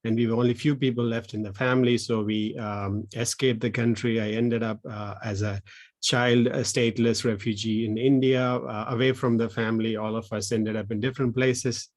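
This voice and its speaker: A man in his thirties from Sri Lanka, a social entrepreneur giving an online presentation, speaking haltingly with many restarts. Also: mid-range voice, flat delivery.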